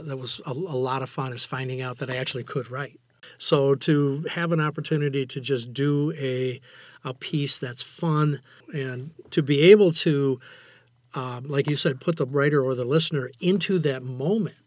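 An older man speaking as an interview guest in a reflective, conversational way, haltingly and often repeating phrases for emphasis. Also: a little high, no room sound. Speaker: interview guest, amateur writer.

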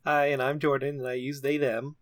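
A man's voice: mid-range voice, little pitch movement, a little fast, dry recording, studio quality. A man improvising casually as a tabletop game player, tentative and thinking aloud. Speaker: guest player.

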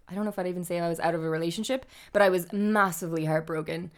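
A woman's voice: fairly low pitch, fairly even intonation, fast. Young woman, from Ireland, guest, hesitant, filler-heavy, casual chat. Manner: hesitant, many fillers, soft laughs.

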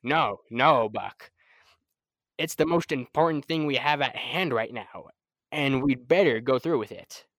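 A man's voice: mid-range voice, a little slow, a bit bright, no room sound, studio quality. A young man playing a determined, formal scientist in a 1930s-style radio serial, speaking insistently. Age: young adult. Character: Doctor Huer, determined, formal male scientist.

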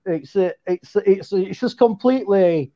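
High-voiced man with a West Yorkshire accent. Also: mellow, lo-fi sound. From West Yorkshire, England. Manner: chatty, stumbles and restarts, quick bursts. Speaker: podcast guest. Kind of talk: casual chat.

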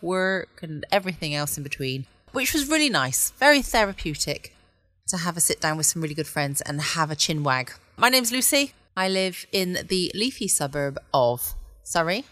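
Woman, informal bedtime podcast chat, bright crisp-edged tone, dry close sound. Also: fairly low pitch, animated, normal speed, no room sound. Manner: informal, rambling. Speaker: podcast host. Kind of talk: chatty bedtime podcast monologue. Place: at home, close dry recording.

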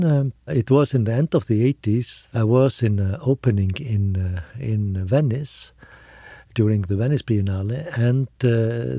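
An older man from Iceland with an Icelandic accent, recalling his work as a curator in a halting, deliberate way with frequent hesitations. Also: lowish voice, mellow, no echo, studio quality. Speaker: interview guest, art curator. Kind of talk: conversational recollection.

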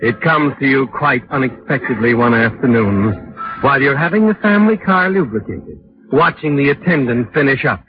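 An actor playing an ominous, knowing storyteller who taunts the culprit in dramatic, deliberate 1940s radio suspense narration. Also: male voice, medium pitch, lively intonation, close to an everyday voice. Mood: ominous, insinuating. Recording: dull vintage radio with loud music.

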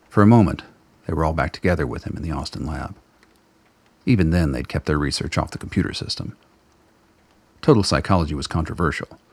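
A man with an extremely low, husky voice full of fry narrates a radio drama. He tells the story in a measured, wry way. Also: dry recording.

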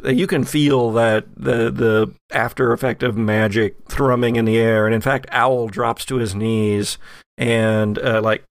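Creaky-voiced man narrating a horror game. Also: mid-range voice, dry recording, studio quality. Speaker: game master.

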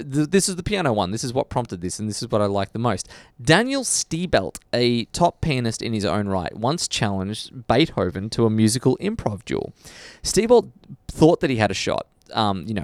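Brief: male podcast co-host, animated storyteller, dry studio sound. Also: lowish voice, no echo. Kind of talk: casual podcast chat.

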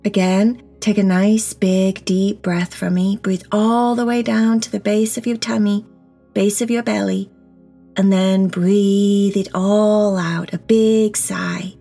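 A woman leads a guided meditation for children, speaking softly and breathily, often close to a whisper, in a gentle, reassuring way with simple words. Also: medium pitch, fairly even intonation, slow, vocal fry. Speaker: guided meditation teacher and host. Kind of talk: children's guided meditation, slow soothing instruction. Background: soft background music.

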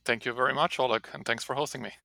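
A man's voice: mid-range voice, fairly even intonation, rapid-fire, gravelly, bright, forceful tone, no echo, clean studio sound. A man in his forties with an Israeli accent, a professor talking casually from his home office, rambling with many restarts. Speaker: marketing professor, guest. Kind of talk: casual interview talk.

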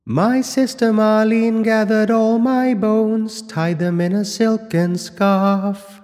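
An eerie high singing spirit bird. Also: male voice, fairly even intonation, a little mellow, long reverb tail, soft background music. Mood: eerie chanting. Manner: slow chanting singsong.

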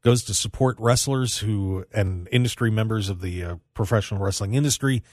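A man's voice: fairly low pitch, fairly quick, a little mellow, no echo, clean studio sound. A man hosting a radio show in a casual sports-talk style. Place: radio studio.

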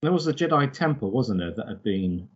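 Male co-host, lowish husky voice, hesitant book chat with repeated words, slightly dull recording. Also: sing-song. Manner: halting, repeats words while thinking. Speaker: podcast co-host. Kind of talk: casual book discussion.

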